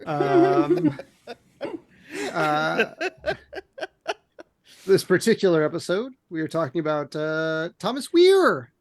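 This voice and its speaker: Hoarse, flat-voiced male podcast host. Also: high voice, takes it slowly, long pauses. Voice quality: somewhat monotone. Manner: rambling, self-mocking. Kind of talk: casual chat.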